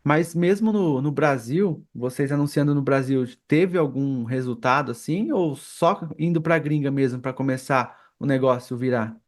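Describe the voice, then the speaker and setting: A man's voice: mid-range voice, moderate pace, hardly any pauses. Male co-host, Brazilian, mid-range voice, casual conversational questioning. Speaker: podcast co-host. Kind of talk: casual podcast interview.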